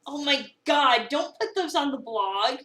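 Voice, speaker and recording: A woman's voice: high-pitched, expressive, a little slow, fairly bright tone. A woman playing a sassy, sarcastic young cafe employee in a dramatic reading of a visual novel. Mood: exasperated. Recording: roomy, echoey, distant mic.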